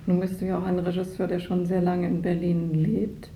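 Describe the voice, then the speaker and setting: A woman conducts an interview in a conversational manner. Her voice is slightly low and very dark and mellow, and it sounds in a reverberant room. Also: monotone, moderate pace, a little hiss or hum.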